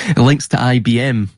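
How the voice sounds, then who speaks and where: A man's voice: medium pitch, a little mellow, no echo. A man talking in a casual podcast conversation, explaining things in an earnest way. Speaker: podcast guest and researcher.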